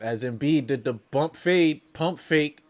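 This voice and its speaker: A man's voice: fairly high pitch, measured pace. A man hosting a watch-along, calling basketball live as a partisan fan in slangy talk, often repeating phrases for emphasis.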